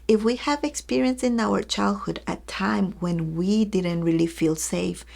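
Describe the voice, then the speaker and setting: A woman's voice: mid-range voice, unhurried, creaky, darkish tone. A woman giving an earnest teaching talk to educators, speaking to her listeners directly and acting out everyday examples. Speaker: early childhood educator.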